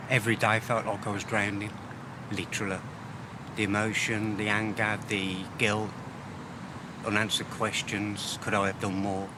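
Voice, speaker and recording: Traffic and outdoor ambience sit clearly behind a man with a fairly low voice. He speaks frankly and personally about his poems, hesitating and pausing as he reflects. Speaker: poet. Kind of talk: candid personal talk about own poems.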